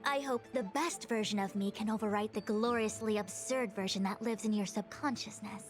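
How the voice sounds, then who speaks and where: A woman's voice: medium pitch, moderate pace, lightly acted. A woman playing a proud, exacting young master diviner in a video game, speaking in formal, wordy and precise lines with a pompous air. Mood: measured, composed. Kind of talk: scripted video game voice acting. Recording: game music behind the voice.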